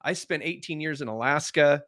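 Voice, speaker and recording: A man's voice: fairly high pitch, normal speed, fairly bright tone, no echo, clean studio sound. Easygoing male interview guest. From West Coast of the US. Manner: easygoing, laughs often. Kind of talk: casual interview chat.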